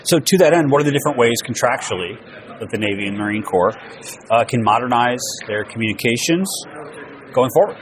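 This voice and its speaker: A man's voice: mid-range voice, lively intonation, a little fast. A man hosting an interview, asking prepared questions. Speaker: interviewer, host. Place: naval trade show event.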